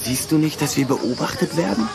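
A man's voice: fairly high pitch, expressive, fairly quick, creaky, background noise. A male performer playing a cunning, secretive schoolboy in a radio play, talking in a precocious, mysterious manner. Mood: secretive, sly. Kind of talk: German radio play acting.

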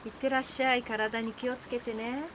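A woman's voice: a little high, level tone, racing, fairly bright tone, slight background noise, lightly acted. A woman playing a tender foster mother, a wandering musician, in a radio drama. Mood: tender farewell.

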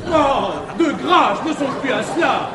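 An actor playing an impulsive, lovesick young gentleman pleading urgently in a classical French stage farce. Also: very high voice, expressive, measured pace, crowd noise. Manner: pleading, breathless theatrical urgency. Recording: echoey, noisy 1960s stage recording.

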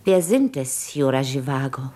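An actress playing an earnest, anguished wife in a 1950s German radio drama, intimate and fervent, with a husky, breathy voice. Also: female voice, extremely low pitch, very animated, unhurried, warm tone, heavily acted. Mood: wistful, loving.

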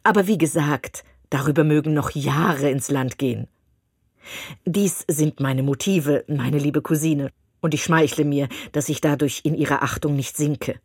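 A woman reading as a pompous male clergyman from a classic novel, long-winded and condescending. The voice sits low and slightly husky. The sound is dry and close. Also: measured pace, a little mellow, dry recording, exaggerated voice. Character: pompous self-satisfied male clergyman suitor.

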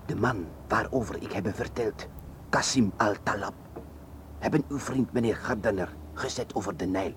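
A low male voice of an actor as a poor Egyptian driver in a radio play. He wheedles and haggles in broken phrases, over faint street noise. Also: moderate pace, close to an everyday voice. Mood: secretive, earnest. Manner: broken Dutch, ingratiating, haggling. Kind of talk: radio drama acting.

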